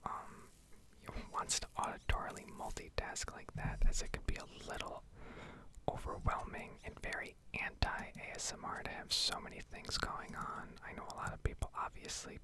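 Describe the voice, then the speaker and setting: A woman whispering and speaking very softly in a hesitant, unhurried ASMR ramble, recorded at home on a desktop microphone. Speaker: streamer making ASMR gaming videos. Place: indoor home setup. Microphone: Blue Yeti desktop microphone.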